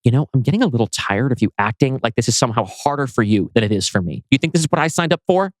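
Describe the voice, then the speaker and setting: Man as weary sixties grandmother, doting, insistent, expressive pitch, dry sound, audio drama. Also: rapid-fire, dry recording, natural voice. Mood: frustrated. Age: 60s.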